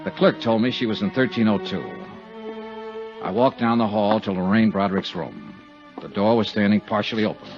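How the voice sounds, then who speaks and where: Hard-boiled investigator on old radio, lively pitch. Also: male voice, normal speed, music in the background. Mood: wry, tense narration. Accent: American. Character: steady hard-boiled male investigator, coaxing and commanding. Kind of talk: 1950s radio drama acting with first-person narration. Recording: dull vintage 1950s radio recording.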